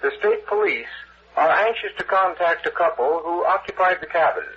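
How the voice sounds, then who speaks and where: Local news announcer, American man, high voice, formal 1940s bulletin delivery, heard on a dull, muffled old radio recording. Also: lively intonation, normal speed. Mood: formal, grave. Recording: muffled old radio, husky broadcast sound.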